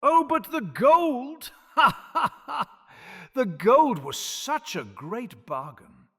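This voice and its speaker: A man's voice: very high voice, very animated, drawn-out words, pushed and bright, breathy edge, exaggerated voice. A man voicing a scheming male goblin who mocks and cackles, in an audio drama with long hall reverb. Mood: gloating. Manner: mocking, cackling.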